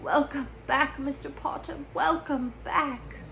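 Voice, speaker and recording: An old storybook barman voiced by a woman, overcome with reverence and close to tears, speaks in a trembling, raised voice. There is clear noise on the recording. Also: high voice, fairly even intonation, slow, fairly bright tone, pitched above the performer's own voice, exaggerated voice. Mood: awed, reverent. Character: old bald barman, awed and tearful.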